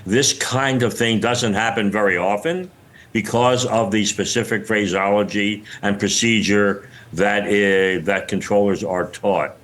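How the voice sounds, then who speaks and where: An older man being interviewed as an expert, explaining things haltingly as he searches for words. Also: on the low side, fairly even intonation, a bit bright. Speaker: retired air traffic controller, interviewed expert. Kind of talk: news interview, unscripted explanation.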